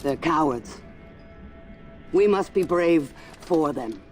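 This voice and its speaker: An actor playing a cold, zealous warlord master in a video game cinematic, speaking in a deliberate, solemn and commanding way. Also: male voice, on the high side, lively intonation, darkish tone, soft background music. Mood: grim conviction.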